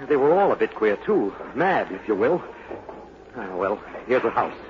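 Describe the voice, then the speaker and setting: A haunted, brooding captain's son in a 1940s radio play. His low voice swings dramatically and insinuatingly. The broadcast sound is muffled, with a faint hiss behind it. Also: big pitch swings, normal speed.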